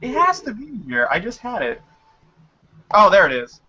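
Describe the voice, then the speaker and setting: A young man hosting a casual group voice chat, talking quickly and a little scattered while he works on his computer. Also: high-pitched, huge pitch swings, bright, forceful tone. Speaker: stream host. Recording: lo-fi mic with faint noise.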